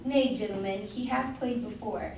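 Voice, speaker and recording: A woman's voice: mid-range voice, moderate pace, darkish tone, close to an everyday voice. A woman playing an eager, chatty, naive grocer's wife in an amateur staged reading of an old comedy, gushing and insistent. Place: indoor room at a college, far from mic. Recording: distant echoey room, faint noise.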